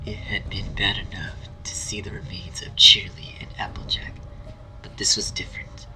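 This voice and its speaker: A man narrating a scripted horror story in a hushed, breathy voice. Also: low voice, rough recording. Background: music.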